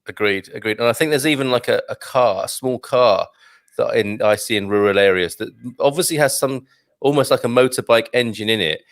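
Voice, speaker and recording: A man's voice: mid-range voice, brisk, no echo. Casting note: easygoing male host, mid voice, dry close mic. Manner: friendly, reads out viewer comments, laughs easily. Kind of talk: casual interview chat.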